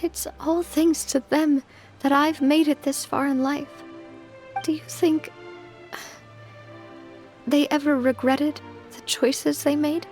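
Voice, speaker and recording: A woman's voice: high-pitched, steady tone, faint music behind. A woman acting a weary, sincere young woman leader full of self-doubt, speaking hesitantly in a video game. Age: young adult. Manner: hesitant, halting, soft-spoken.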